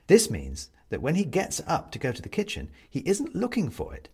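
A man narrating a literary story in an expressive, wry manner with deliberate phrasing. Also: a little high, husky.

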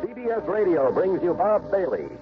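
A man's voice: high voice, big pitch swings, moderate pace, over music. A man with an American accent announcing a mid-century radio show in an emphatic, dramatic style. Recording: dull old radio broadcast recording.

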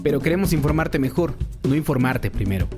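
A man's voice: a little high, lively intonation, a little fast. A man reading a scripted promo for his show. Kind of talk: scripted promo over music. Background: loud music with drums.

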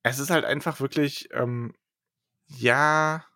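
A man co-hosting a podcast who hesitates and hedges as he talks, with a dry sense of humor. His voice sits at a middle pitch. The recording is dry and close. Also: fairly even intonation, slow pace, sharp tone, no room sound. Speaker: podcast co-host. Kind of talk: casual podcast chat.

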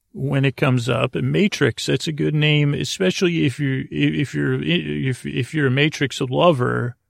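Man, creaky fry, dry close sound, soothing bedtime monologue, stammering, repetitive, absent-minded tangents. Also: medium pitch, dry recording. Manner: meandering, halting, stammering, repeating himself. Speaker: podcast host. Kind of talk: rambling bedtime monologue meant to lull listeners to sleep.

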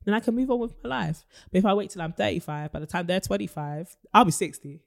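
A woman in her twenties, from Nigeria, co-hosting a podcast in relaxed conversation, animated and quick to laugh, thinking aloud with asides. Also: mid-range voice, gravelly. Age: 20s. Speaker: podcast co-host. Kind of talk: casual chat.

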